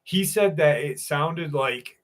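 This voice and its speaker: A man's voice: on the high side, takes it slowly. A man hosting a live stream, telling stories in a loose, profane way and greeting viewers by name. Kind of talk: casual live stream chat and storytelling.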